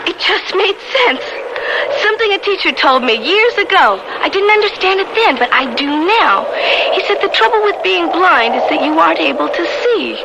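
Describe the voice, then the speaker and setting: A woman's voice: very high voice, very animated, moderate pace, gravelly, lo-fi sound, heavy background noise, exaggerated voice. A woman playing a blunt, feisty young blind niece in a radio drama. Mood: earnest. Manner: plain-spoken, scolding, sardonic. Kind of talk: old-time radio drama acting.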